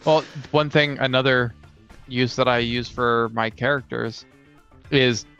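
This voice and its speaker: A man co-hosting a casual chat about tabletop gaming, explaining his views in a measured way. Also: mid-range voice, steady tone, sharp tone, clean tone, soft background music. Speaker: podcast co-host, game master and player. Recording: slightly dull lo-fi sound.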